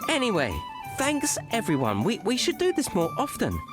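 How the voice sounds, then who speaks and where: A man's voice: high voice, huge pitch swings, moderate pace. A man voicing a cheerful male Smurf poet, a small blue storyteller who narrates in rhyme with theatrical flourishes and wanders into bashful asides. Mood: rambling aside. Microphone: studio voice-over. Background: gentle guitar music.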